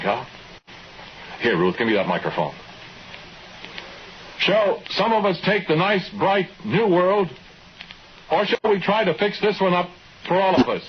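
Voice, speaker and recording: An actor in a 1940s radio drama playing a respectful, plain-spoken young man who talks informally and a little unsurely. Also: sits high. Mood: urgent appeal. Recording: muffled, noisy old radio recording.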